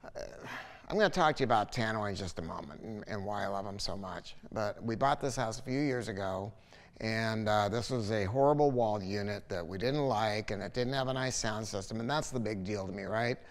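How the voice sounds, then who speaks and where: An American man in his sixties presenting a product in a casual, unscripted, opinionated and rambling way, standing in a large living room. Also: a little fast. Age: 60s. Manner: animated, opinionated, salty, rambling storytelling. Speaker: audio dealer presenting a speaker review. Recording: echoey large high-ceilinged room.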